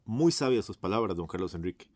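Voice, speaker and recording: A man's voice: deep voice, animated, fast talker, a little mellow, dry recording, studio quality. A man acting in radio theatre as a courteous, enthusiastic 1950s radio journalist, with a Costa Rican accent and a formal, announcer-like manner. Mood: admiring, courteous. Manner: formal, effusively polite, announcer-like.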